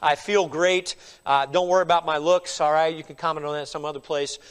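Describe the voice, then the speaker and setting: A man's voice: on the high side, steady tone, brisk, clean tone. A middle-aged man preaching a sermon as pastor, a little stuffy from a cold, emphatic, with frequent "all right?" asides.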